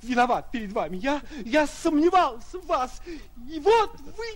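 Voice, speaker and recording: A man playing an excitable, apologetic townsman in a 1940s radio play, with a stammering, effusive manner, on a vintage recording. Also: extremely high pitch, moderate pace. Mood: pleading. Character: fawning, excitable, apologetic townsman, shrill admirer.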